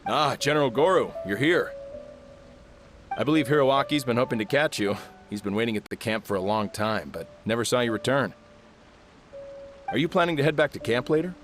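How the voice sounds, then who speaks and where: An actor playing a polite, helpful soldier in a video game. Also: male voice, medium pitch, lively intonation, brisk, pauses often, soft background music, natural voice. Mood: courteous, helpful.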